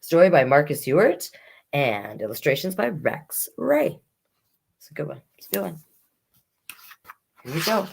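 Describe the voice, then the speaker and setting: A woman's voice: deep voice, creaky, slightly rough. A woman hosting a children's storytime, reading picture books aloud expressively and adding chatty asides. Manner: expressive, unhurried, comments on the story as she reads.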